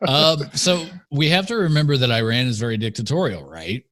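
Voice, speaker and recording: A man's voice: medium pitch, a little fast, a bit bright, no room sound, clean studio sound. An American man hosting a podcast in casual talk, with joking, sarcastic asides. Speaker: podcast host.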